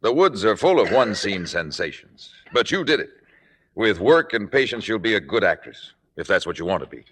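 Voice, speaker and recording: A man acting a frank, encouraging stage director in a vintage radio drama, plain-spoken and brisk. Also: lowish voice, sing-song, fairly bright tone, breathy edge, full character voice. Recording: old radio broadcast, reverberant hall.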